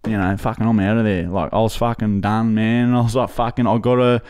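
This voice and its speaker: A man's voice: lowish voice, little pitch movement, fairly quick, darkish tone, clean tone, natural voice. A man with an Australian accent putting on a crude, angry bloke on a prank call, swearing nonstop and stumbling over his words. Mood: agitated. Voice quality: swears constantly, rambling, stumbling restarts. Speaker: prank caller.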